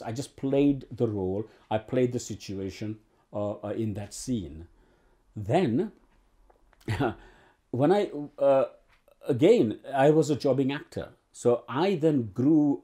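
Dark-voiced older man reminiscing. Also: medium pitch. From Uganda. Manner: rambling, many restarts and fillers. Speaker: actor interviewee. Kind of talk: oral history interview. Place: living room with bookshelves and sofa.

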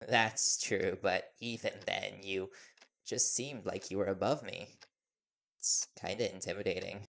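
Man acting as a young male reporter boyfriend, unhurried, fry in the voice, earnest, hesitant, audio roleplay. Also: deep voice, steady tone, full character voice. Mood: sheepish, admiring. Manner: hesitant, earnest, softly deferential.

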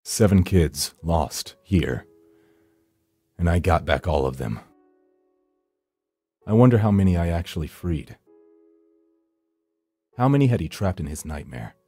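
A man's voice: low voice, expressive, unhurried, long pauses, dark tone, breathy edge, dry recording, soft background music, heavily acted. An actor playing a grim, world-weary supernatural rescuer, narrating his hunt in a brooding, solemn, dramatic way. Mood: somber. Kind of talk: dramatic scripted first-person narration.

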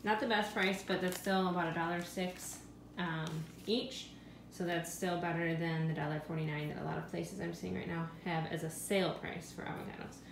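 Woman vlogger, thirties, lowish voice, chatty and offhand, recorded by a handheld camera in an echoey kitchen with steady noise. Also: moderate pace, creaky, hardly any pauses. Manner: chatty, thinking aloud about prices. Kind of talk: casual grocery haul narration. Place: home kitchen.

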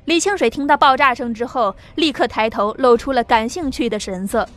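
Casting: female audiobook narrator, high voice, scripted dramatic delivery over faint music. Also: a little fast, fairly bright tone. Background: background music.

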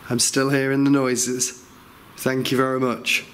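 A man's voice: mid-range voice, monotone, a little slow, a bit bright. A man investigating the paranormal in a cave, commenting on what he hears and challenging spirits to respond. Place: inside a cave at night. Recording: clear background noise and ambience.

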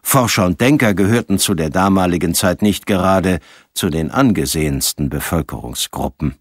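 Low-voiced man narrating a story, dry sound. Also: no echo. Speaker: audiobook narrator. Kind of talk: scripted narration of a science fiction novel.

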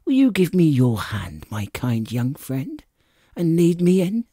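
A man voicing a sinister, soft-spoken old blind beggar in an audiobook, with a cold sing-song delivery. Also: a little high, wildly swooping pitch, unhurried, no room sound, clean studio sound, pitched above the performer's own voice, full character voice. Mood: wheedling sing-song. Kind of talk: audiobook character acting.

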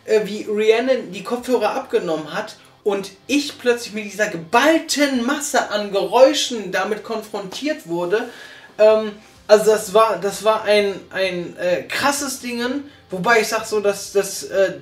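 A reviewer hosting a casual couch chat, talking haltingly with many restarts and tag questions. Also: mid-range voice. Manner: halting, restarts a lot, tags remarks with "ne?". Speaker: reviewer, host. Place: small living room, on a couch. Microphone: far camera mic. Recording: roomy, distant mic, faint music.

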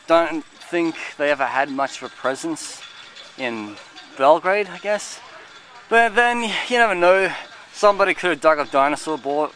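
A rambling man in his thirties narrates a walking tour outdoors, speaking into a handheld camera held at arm's length. His voice sits a bit high for a man, and faint outdoor ambience hums behind him. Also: a bit bright, a little hiss or hum, poor audio. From United Kingdom. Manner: rambling, chatty, lots of "like" and "I guess". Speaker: travel vlogger. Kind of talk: casual walking tour commentary. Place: outdoors in a city park and fortress.

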